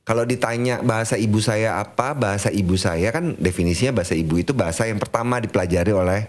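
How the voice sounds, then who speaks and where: A middle-aged man, his voice sitting low, chatting easily as a podcast guest. He explains his points through personal anecdotes, slipping in little laughs as he goes. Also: fast talker. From Jakarta, Indonesia. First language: Betawi. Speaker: guest language activist. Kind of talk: casual podcast conversation.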